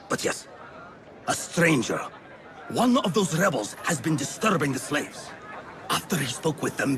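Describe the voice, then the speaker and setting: A man's voice: mid-range voice, natural voice. An actor playing a haughty, nervous, easily outraged man of standing in a video game, with an imperious manner. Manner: indignant, imperious. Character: haughty, easily outraged, nervous male slave-owning notable. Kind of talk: video game cutscene acting.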